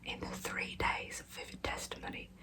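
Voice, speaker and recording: A woman narrating true crime as ASMR in a breathy, hushed whisper, telling the story in measured phrases. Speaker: ASMR storyteller, channel host.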